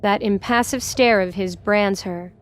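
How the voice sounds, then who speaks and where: Woman narrator reading a fantasy audio drama story, normal mid pitch. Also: unhurried, a little hiss or hum.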